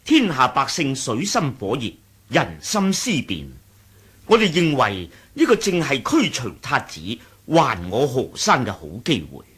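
A man playing a resolute, patriotic rebel commander in a radio drama, formal and oratorical, with a husky voice and a Hong Kong Cantonese accent. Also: medium pitch, huge pitch swings, a little slow, a bit bright, full character voice. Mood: fervent.

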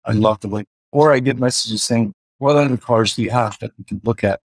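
Husky man with Iranian-Swedish accent. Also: medium pitch, brisk, no echo. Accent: mixed Iranian and Swedish. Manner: hesitant, many fillers and restarts. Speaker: car filmmaker guest. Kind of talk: video call interview. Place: home room indoors. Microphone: video call webcam.

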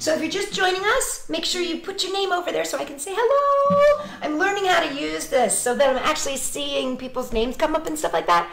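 A woman's voice: high voice, a little fast, creaky, few pauses, over music. A middle-aged woman, a children's entertainer, talking directly and expressively to young children in a live storytime, recorded on a webcam in a living room. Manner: animated, exclaiming, addressing children directly. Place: small living room with couch, indoors. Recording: roomy webcam sound.

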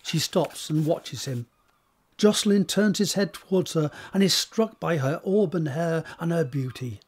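A man's voice: a little high, unhurried, husky. A man reading scripted stage directions as the narrator of an audio drama.

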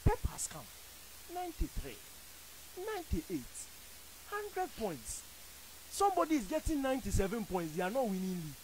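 A man's voice: very high voice, very animated, normal speed, long pauses, slight background noise, low-quality recording. A man with a Ghanaian accent, arguing football as a panelist on a radio studio show, emphatic and theatrical. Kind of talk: animated studio debate. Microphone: studio boom mic with headphones.

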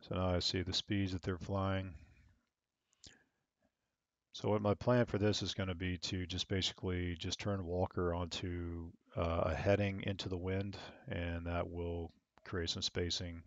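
A young man at a home desk on a headset, calmly giving controller radio calls and explaining his plans in a low-key way. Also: unhurried, no echo, dull audio. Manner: calm, low-key, thinking aloud with fillers. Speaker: online air traffic controller streamer.

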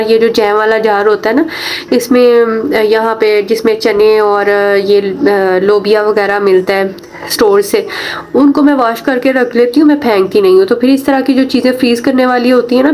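A woman's voice: on the high side, darkish tone. A woman with a Pakistani accent, a mom vlogger narrating in a chatty, explanatory way and speaking directly to viewers. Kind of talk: casual homemaking vlog narration.

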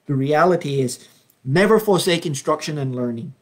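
A man in his fifties from Belize leading an informal Bible study over a webcam at home, speaking earnestly and unhurriedly with frequent ums, slowing down to read verses. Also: fairly high pitch, animated. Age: 50s. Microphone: webcam, video call framing.

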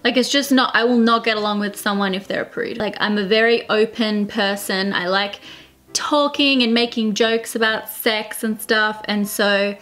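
A woman's voice: mid-range voice, fairly even intonation, fairly bright tone. A woman in her twenties with an Australian accent, chatting frankly and laughing while answering viewer questions beside her partner. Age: 20s. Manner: frank, laughing, quick and joking. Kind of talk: casual chat. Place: small indoor room in front of a plain wall.

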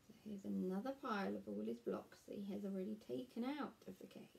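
A woman's voice: medium pitch, fast, vocal fry, darkish tone. A young woman, a primary school teacher, reading a picture book aloud to young children indoors. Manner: explains words and asks questions. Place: small furnished room at home, indoors.

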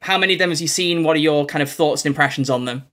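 A man's voice: on the high side, rapid-fire, fairly bright tone. A young man, chatting casually and effusively as a podcast interviewer, rambling a little. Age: young adult. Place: small bedroom with posters, on a video call.

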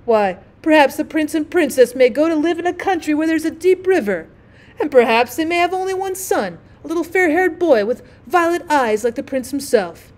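Pompous male storybook rocket, played by a woman: high, haughty, self-important, easily affronted, declaiming grandly. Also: lively intonation, moderate pace. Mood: melodramatic.

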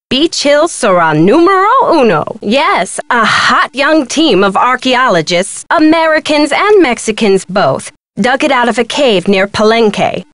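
An actress playing a self-important museum curator in a video game, with a brisk, sardonic, no-nonsense manner. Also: female voice, a little high, fairly bright tone, no echo.

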